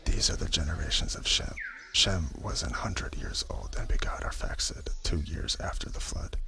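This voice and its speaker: A man reading scripture as a hushed, breathy ASMR narration in a whispery voice. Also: low voice, huge pitch swings, moderate pace. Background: background music.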